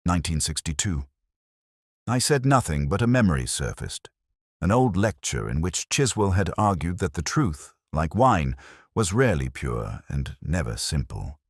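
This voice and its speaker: A man narrating a literary mystery in the first person, in a measured, melancholy and solemn way. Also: sits low, very animated, slightly rough, no echo, clean studio sound.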